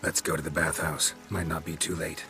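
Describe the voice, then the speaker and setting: A man playing a gruff, stoic monster hunter in a video game, terse and dry-witted with a gravelly, deadpan delivery. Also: rumbling low, brisk, heavily acted. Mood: dry, measured. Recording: game music behind the voice.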